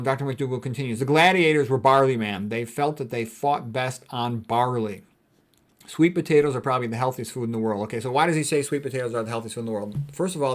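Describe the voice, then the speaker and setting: Guy doing a casual doctor-style lecture, mid pitch, plain talk, hammers points in short phrases. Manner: plain-spoken, didactic, repeats points in short emphatic phrases. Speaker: physician giving health commentary. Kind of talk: informal lecture, reading quotes and commenting on them.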